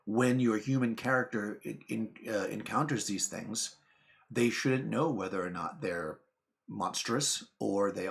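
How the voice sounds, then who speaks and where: A man's voice: fairly low pitch, vocal fry, a bit bright. A man hosting his channel, talking casually to camera in a rambling, chatty way with lots of fillers and asides. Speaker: channel host.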